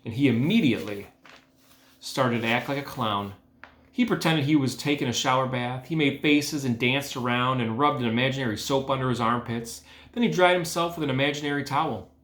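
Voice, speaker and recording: A man reading a children's book aloud as host, with expressive, emphatic phrasing. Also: mid-range voice, a little fast. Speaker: read-aloud host, educator. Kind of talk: storybook read-aloud narration. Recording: echoey room.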